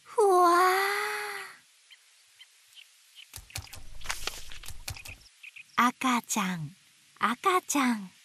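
A woman reading picture books aloud to babies in a gentle, sing-song way, dwelling on playful sound words. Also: extremely high pitch, very animated, extremely slow, long pauses, slight background noise. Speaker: storybook narrator voicing an owl. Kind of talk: children's picture book read-aloud.

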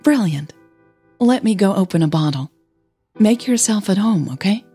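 Relaxed, coaxing, confident woman singer, as a narrator plays her. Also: mid-range voice, moderate pace, husky, faint music behind. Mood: friendly, reassuring. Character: confident, elegant female singer, friendly and coaxing. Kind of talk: audio drama storytelling.

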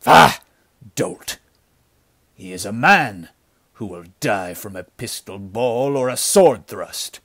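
A man's voice: lowish voice, a little slow, hardly any pauses, no echo, studio quality. A man voicing a cruel, mocking bandit leader in a storybook, with a cold snarl. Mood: scornful.

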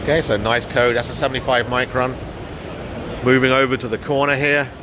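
A man's voice: mid-range voice, steady tone, brisk. A middle-aged man giving an informal product tour to camera as a company representative, recorded on a handheld phone in a large trade show hall. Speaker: business development manager presenting products. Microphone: handheld phone at arm's length. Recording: noisy exhibition hall ambience.

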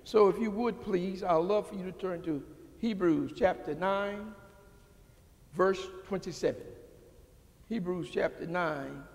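An older man preaching a sermon from a church pulpit into a podium microphone, building from quiet talk into fervent, rising calls to the congregation. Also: sits high, unhurried. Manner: preaching with rising call-and-response intensity. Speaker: pastor. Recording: church hall reverb.

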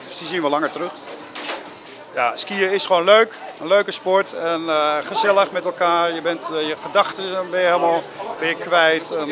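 Halting man with a raised voice. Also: a little high. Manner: halting, many fillers. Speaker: ski school manager. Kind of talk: promo interview.

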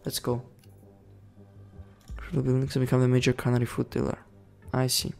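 A man giving casual commentary over a management game, excitable, swearing and yelling orders at his units. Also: medium pitch, moderate pace, creaky, faint music behind. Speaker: gaming channel host.

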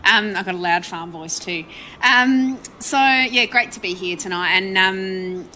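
An Australian woman speaking on a public panel, chatty and relaxed, with many ums. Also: mid-range voice, unhurried, slight background noise, low-quality recording. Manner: chatty, frequent ums, laughs easily. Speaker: panel guest, council presiding member. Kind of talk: panel discussion talk.

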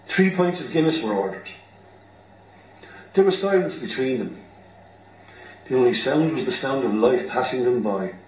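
A man's voice: medium pitch, lively intonation, normal speed, long pauses. Irish man narrating, roomy and distant. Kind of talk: scripted narration of a radio play. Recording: roomy, distant mic, background noise.

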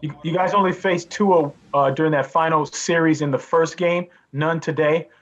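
A male reporter with a fairly high voice who asks his questions in a plain, matter-of-fact way. The recording sounds somewhat muffled and lo-fi. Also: fairly even intonation, moderate pace, a bit bright. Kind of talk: press conference questions.

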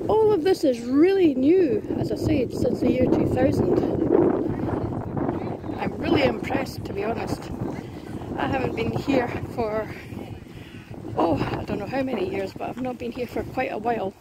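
A woman's voice: on the high side, moderate pace, long pauses. A woman giving casual walking commentary as she films outdoors on an urban path with a handheld camera mic. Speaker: vlogger filming a walk. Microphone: handheld camera or phone mic. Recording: windy, noisy outdoor handheld recording.

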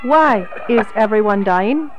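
A woman's voice: medium pitch, lively intonation, slow, lo-fi sound. A woman acting in a radio play as a formal, insistent saleswoman and interrogator with stilted phrasing. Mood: probing. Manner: formal, stilted, avoiding contractions.

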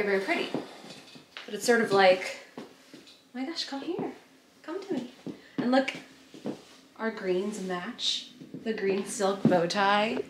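A woman around her thirties chatting casually to camera in a playful, expressive way, stretching words for emphasis. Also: medium pitch, noisy background. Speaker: vlogger. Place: apartment living room. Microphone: camera at arm's length.

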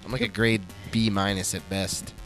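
A man's voice: low-pitched, steady tone, normal speed, a bit bright, soft background music, low-quality recording. A man co-hosting a casual talk show, laid-back and self-deprecating. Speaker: co-host. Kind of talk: casual chat. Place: attic studio in Buffalo. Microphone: handheld mic.

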